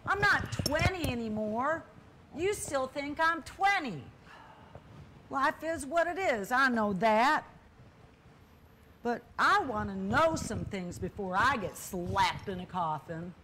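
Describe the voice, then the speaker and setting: Woman playing a wistful, high-voiced old lady, noisy recording. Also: animated, slow. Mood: yearning. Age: older. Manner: earnest, pleading, argumentative. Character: wistful, stubborn older sister yearning for late-life adventure. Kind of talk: stage play dialogue.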